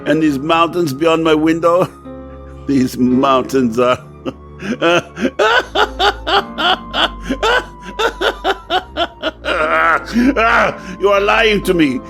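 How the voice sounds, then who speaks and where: An actor playing a tormented amnesiac composer in an asylum in a radio drama, halting and theatrical. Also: male voice, high voice, sing-song, gravelly, pushed and bright, soft background music, heavily acted. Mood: bewildered, distraught. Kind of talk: old-time radio drama acting.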